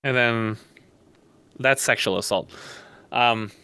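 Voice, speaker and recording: Guy ranting on a podcast, bright clear voice, rambly, sweary and sardonic, dry close sound. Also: mid-range voice, slow pace, no room sound. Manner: rambling, blunt, profane. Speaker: podcast guest. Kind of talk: casual opinionated podcast discussion. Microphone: close mic on voice chat. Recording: voice call over internet.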